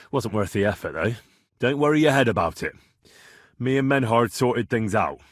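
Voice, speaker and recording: Husky, animated young medieval swordsman. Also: medium pitch, no room sound, studio quality. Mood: indignant, defiant. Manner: blunt, haggling, cocky. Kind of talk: video game character dialogue.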